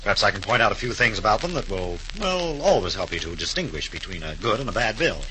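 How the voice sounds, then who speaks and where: Bright, forceful low-voiced government man. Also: sing-song, rough recording. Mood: measured, official. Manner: hesitant pauses, folksy, official. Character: smooth-talking, self-assured male government investigator. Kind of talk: 1930s radio drama acting. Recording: 1930s radio recording with faint noise.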